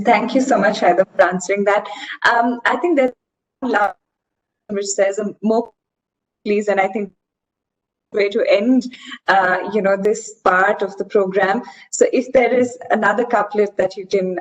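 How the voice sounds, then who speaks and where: A woman moderating an online festival session, speaking in a measured way with many filler sounds and reading out audience comments. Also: mid-range voice, fairly even intonation, rough recording.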